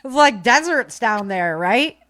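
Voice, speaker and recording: A middle-aged American woman from Michigan chatting informally as a podcast host, telling stories in an animated, slangy way. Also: on the high side, slow, no room sound. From Michigan, United States. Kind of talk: casual conversational podcast chat.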